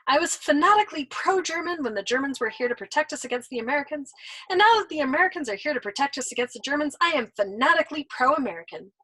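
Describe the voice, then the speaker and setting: A woman's voice: sits high, expressive, quick pace, sharp tone, pitched above the performer's own voice, rough recording. Woman reading as a suave, mocking old man. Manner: teasing, smug and worldly.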